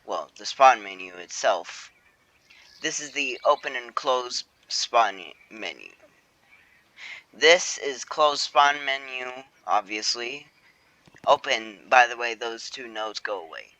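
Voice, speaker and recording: A man's voice: mid-range voice, slow pace, pushed and bright, dry recording, rough recording. A young man casually walking through his own game on a screen recording, rambling with fillers and self-corrections. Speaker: game creator showing his game. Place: home room.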